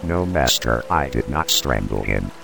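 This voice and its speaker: A very deep, gravelly Judas , played by a man, with urgent, pleading speech. His voice sits at the bottom of the range. A clear music score plays under him. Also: expressive, unhurried, heavily acted. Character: agitated impassioned male disciple Judas.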